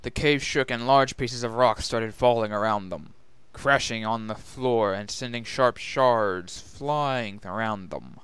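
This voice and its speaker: A man's voice: medium pitch, measured pace, hardly any pauses, a bit bright, clean tone, no echo. A man narrating a scripted story as a dramatic reading. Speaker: narrator and reader.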